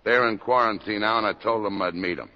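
An actor playing a brisk, dry-humoured police captain in a 1950s radio drama. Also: male voice, lowish voice, natural voice. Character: weary, wisecracking police captain. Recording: dull old radio broadcast recording.

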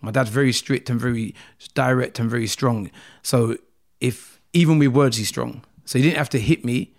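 A man's voice: medium pitch, normal speed, vocal fry. A man from South London with a South London accent, a podcast guest earnestly telling his life story in a casual interview, rambling with lots of "like" and "man". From South London, England.

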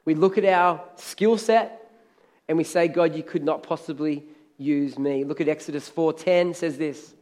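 A middle-aged pastor with a hoarse, crackly voice, preaching a sermon with energetic, emphatic repetition. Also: male voice, fairly high pitch, a little slow.

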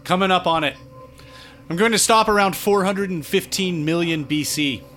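A man's voice: sits high, normal speed, a bit bright, faint music behind. A man playing an easygoing, chatty diner cook in an audio drama, with a casual, offhand delivery. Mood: obliging, grudging. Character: easygoing, chatty diner cook who pilots time travel.

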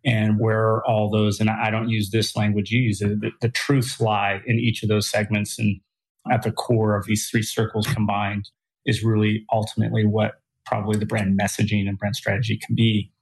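A man's voice: lowish voice, little pitch movement, normal speed, slightly rough, rough recording. A man hosting a podcast interview who responds with enthusiasm and asks curious, rambling questions. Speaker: podcast host. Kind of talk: conversational interview.

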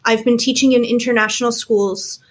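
A woman from Canada in her forties, a teacher being interviewed, explaining things in a measured, frank way. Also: fairly high pitch, fairly even intonation, slightly rough. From Canada, small towns around Toronto. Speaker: guest, teacher and school founder. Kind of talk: casual interview chat.

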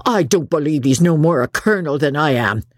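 A woman's voice: deep voice, lively intonation, a little fast, no echo, clean studio sound. A woman voicing a drunken, surly male footman in an audiobook, with a working-class English accent and dropped endings. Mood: belligerent, jeering. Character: drunken, surly, defiant footman, adult man.

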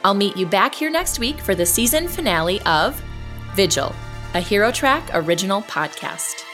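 An actress playing a determined podcast host who earnestly addresses listeners and defies a stalker in an audio drama. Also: female voice, medium pitch, very animated, moderate pace, fairly bright tone, soft background music. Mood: grateful, sincere.

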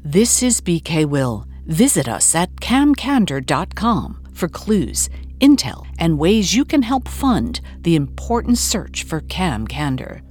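A woman playing a wry investigative podcast host, narrating a scripted mystery with deliberate suspense. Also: on the low side, big pitch swings, darkish tone, a little hiss or hum. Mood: wry, ominous. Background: music.

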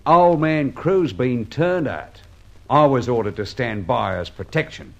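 An actor playing a chatty, sympathetic London constable with a Cockney accent, matey and respectful, in a radio drama. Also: male voice, mid-range voice, lively intonation, measured pace, vocal fry, dull audio. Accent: Cockney English. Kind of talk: old-time radio drama acting.

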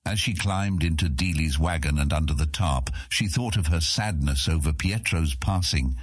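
A man's voice: a bass voice, normal speed, husky, no echo, studio quality. A man reading scripted narration for a fantasy audiobook.

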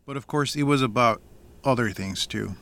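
An actor playing a proud, plainspoken farmer with a teasing streak in a naturalistic audio drama. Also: male voice, medium pitch, normal speed, fairly bright tone, dry recording, close to an everyday voice. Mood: reflective, earnest.

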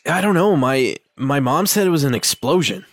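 A man voicing a level-headed teenage half-orc boy, speaking plainly and patiently to a slow-witted friend. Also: fairly high pitch, expressive, close to an everyday voice. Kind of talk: in-character roleplay.

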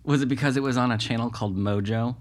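A man's voice: medium pitch, fast. A man hosting a comedy interview podcast, reading a playful scripted intro and then asking casual questions. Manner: playful, lively, jokey framing. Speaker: podcast host. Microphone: studio microphone with headphones.

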